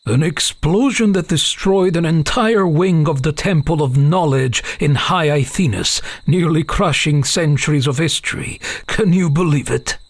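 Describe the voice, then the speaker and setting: A half-elven father, acted by a man, spins a dark legend for his child in a fantasy audio tale. His voice sits a little high and sounds very dry and close. He is uneasy but tells it with drama. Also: measured pace, few pauses, dry recording. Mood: mysterious, grave. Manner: hesitant openings, dramatic storytelling.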